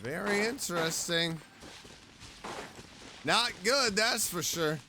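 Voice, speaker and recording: High-voiced man doing unhurried game commentary. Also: animated, noisy background. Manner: talks to self, exclamatory. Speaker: game streamer. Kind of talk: casual gameplay commentary. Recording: game music behind.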